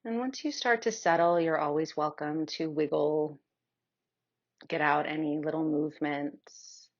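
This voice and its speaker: A woman leading a guided yoga nidra meditation in a soothing, unhurried manner with long pauses. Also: deep voice, fairly even intonation, muffled recording.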